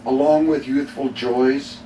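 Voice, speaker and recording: A middle-aged Canadian man reading his own poems live, with measured, deliberate phrasing and dramatic pauses. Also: medium pitch, steady tone, mellow. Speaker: poet reading own work. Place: bookstore reading before a small audience. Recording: roomy, distant mic, faint noise.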